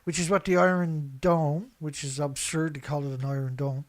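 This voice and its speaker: A man with a slightly high voice delivers opinionated news commentary. He speaks bluntly and colloquially, hammering points by repeating them. It sounds like an unscripted online show where he reads headlines and reacts. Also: fairly even intonation, moderate pace. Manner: emphatic, repetitive, blunt, colloquial. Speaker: online commentator and activist host.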